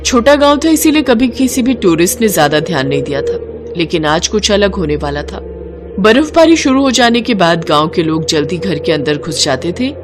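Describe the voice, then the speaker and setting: A man narrating a cartoon moral story in an expressive, melodramatic storytelling manner. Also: sits high.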